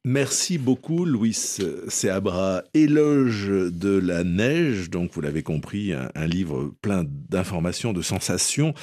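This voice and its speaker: A man hosting a cultural radio interview, asking questions in measured, polished presenter phrasing. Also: low voice, animated, a little mellow. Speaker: radio host.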